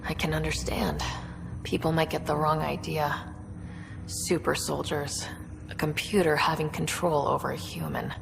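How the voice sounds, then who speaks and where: Young female game explorer: fry-edged, wry, inquisitive, casual, some reverb around her. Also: low-pitched, flat delivery, moderate pace, music in the background. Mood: wary, curious. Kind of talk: video game voice acting.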